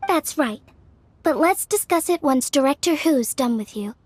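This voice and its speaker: A composed young female video game character. Her voice is high and reassuring, recorded dry and close with very little room sound. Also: lively intonation, normal speed, a little mellow, dry recording. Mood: calm, agreeing. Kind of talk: video game cutscene acting.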